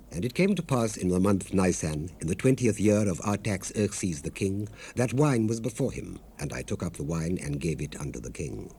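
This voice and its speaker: A deep-voiced, devout servant of an ancient king, speaks in solemn, archaic scripture. His dark, mellow tone carries earnest, measured humility, as in a 1970s Bible audio drama. The cassette transfer adds light hiss. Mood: anxious, respectful. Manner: solemn, fervent, measured, formal archaic delivery. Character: devout, grieving Old Testament royal cupbearer, earnest and humble. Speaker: actor in a dramatized Bible recording. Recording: noisy unprocessed cassette rip.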